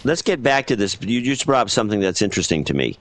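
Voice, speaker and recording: A man co-hosting a podcast, talking in an opinionated, animated way in casual chat. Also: on the low side, fast talker, no echo. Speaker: podcast co-host.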